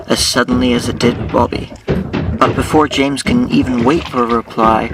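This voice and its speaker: A man narrating a scripted audio drama. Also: mid-range voice. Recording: noisy, distant-miked, with clicks.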